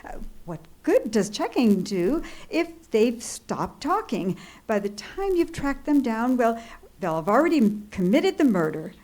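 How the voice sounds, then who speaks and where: An actress playing an anxious, imperious bedridden married woman in a radio suspense play, flustered, halting and indignant. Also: a little high, big pitch swings, a little mellow. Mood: exasperated, anxious. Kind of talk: old-time radio suspense play acting. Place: live theatre stage.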